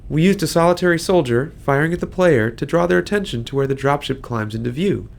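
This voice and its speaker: A man's voice: on the high side, lively intonation, fast, creaky. A man giving scripted commentary as a game developer, explaining a design decision.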